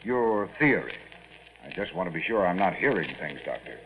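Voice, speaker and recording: Gruff, low-pitched army colonel. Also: male voice, very animated, normal speed, exaggerated voice. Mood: weary, irritated. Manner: curt, sardonic, commanding. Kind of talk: 1950s radio drama acting. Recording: tinny, noisy 1950s radio recording.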